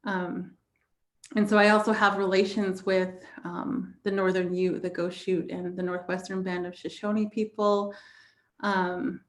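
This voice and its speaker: Even-toned American woman, reflective. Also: mid-range voice, measured pace. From Navajo Nation, southwestern United States. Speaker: panelist. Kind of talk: reflective personal talk on a panel.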